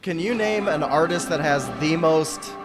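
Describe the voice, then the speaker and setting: A man's voice: a little high, unhurried. A man chatting as a guest on a live stream, joking and laughing easily. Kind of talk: casual chat. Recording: music playing behind. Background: music.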